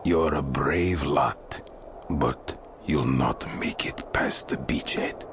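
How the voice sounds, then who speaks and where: Gravelly, dramatic dwarf king. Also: very deep voice, slow pace, background noise, heavily acted. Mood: grim, doubting. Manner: dramatic, blunt, archaic phrasing. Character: fierce, gruff, proud dwarf king. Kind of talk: fantasy game cutscene acting.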